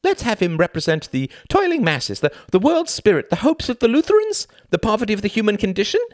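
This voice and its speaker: A man voicing a flamboyant, theatrical thin stage performer in a grandiose, excitable manner. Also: fairly high pitch, moderate pace, dry recording, studio quality, pitched above the performer's own voice.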